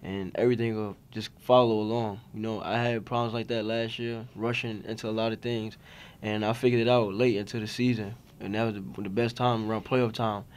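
A man's voice: fairly low pitch, darkish tone. A young man, a college basketball player, answering reporters' questions after a game at a press table. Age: young adult. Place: press conference room. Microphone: table mics in front of him.

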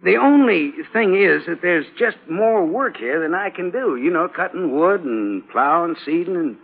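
An old, muffled radio broadcast. a plain-spoken, stubborn American homesteader, a gravelly man with a fairly high, lively pitch. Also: moderate pace, full character voice. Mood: earnest. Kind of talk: 1950s radio drama acting.

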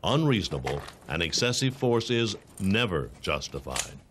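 A man with an American accent reads scripted instructional narration in a formal, measured, authoritative way. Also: low voice, sing-song, a crowd in the background. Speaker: training film narrator.

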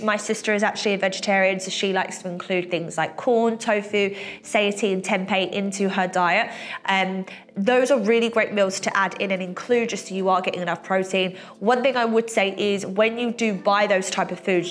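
Young female vlogger, nasal from a cold, relaxed chatter full of fillers, mid-range voice, seated indoors. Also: medium pitch. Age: young adult. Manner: chatty, many fillers, lively gestures. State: sick with a cold, slightly nasal. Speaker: fitness coach and vlogger. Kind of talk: casual sit-down chat to camera. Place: bright room indoors, seated.

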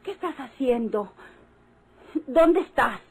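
A high, emotional woman's voice as a pleading Mexican mother and wife. She speaks in the heightened style of golden-age radio melodrama, always imploring. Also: animated, slow, creaky, a little hiss or hum, exaggerated voice. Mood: anxious calling.

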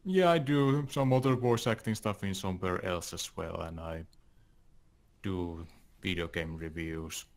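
Gravelly, slow-talking man. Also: sits low, a little mellow. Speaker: co-host, voice actor. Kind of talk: unscripted casual chat.

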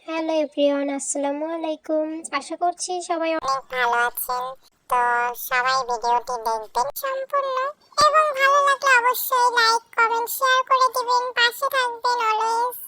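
A woman chatting casually to viewers through a pitch-shifting voice changer. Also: moderate pace, pushed and bright, soft background music, low-quality recording. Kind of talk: casual vlog-style greeting.

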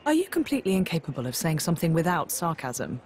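A man voicing a gentle, earnest young swordsman in a video game cutscene, mild and lightly teasing. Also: fairly high pitch, wildly swooping pitch, fast. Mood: exasperated.

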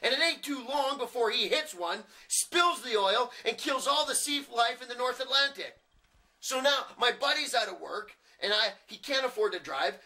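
A man's voice: high-pitched, pushed and bright, voice pushed up, exaggerated voice. A man playing a cocky, cynical young working-class genius, rambling sardonically through a dramatic film monologue. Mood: bitter, sarcastic. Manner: rambling, sardonic, building chain of argument.

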